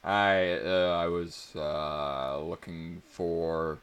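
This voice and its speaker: A man's voice: low voice, fairly even intonation, extremely slow, fairly bright tone, clear, resonant tone, exaggerated voice. A man voicing an awkward, eager teenage boy who speaks hesitantly, in a dramatic story reading. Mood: flustered. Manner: hesitant, stammering.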